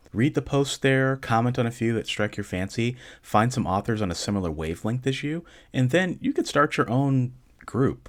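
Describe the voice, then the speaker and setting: Calm deep-voiced man giving advice. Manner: calm, measured advice with dry deadpan humor. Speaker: podcast host answering listener questions. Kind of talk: casual solo podcast talk.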